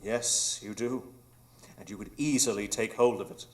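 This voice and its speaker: A man's voice: fairly low pitch, steady tone, normal speed, lightly acted. A man as a grandiose, scheming old pirate villain. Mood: tempting. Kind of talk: live radio drama acting.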